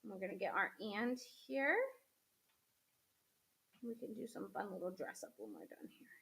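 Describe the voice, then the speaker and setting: Sniffly craft lady talking thru her project, lively up and down voice. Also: mid-range voice, moderate pace, creaky. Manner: chatty, talks to viewers as she works. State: sniffly with a cold. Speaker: craft host. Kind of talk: casual craft demo chat.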